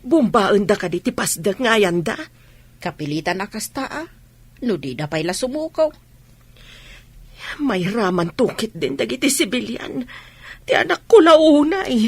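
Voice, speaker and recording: A woman's voice: a little high, very animated, unhurried, long pauses, slightly rough, no echo, full character voice. An actress with an Ilocano accent playing an anxious older village mother in a radio drama, fretful and plaintive.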